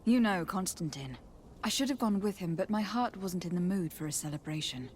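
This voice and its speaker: A woman's voice: fairly low pitch, expressive, a little fast, a little hiss or hum, close to an everyday voice. An actress playing a poised, confident young noblewoman in a video game, formal and polite. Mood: subdued. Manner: formal, composed.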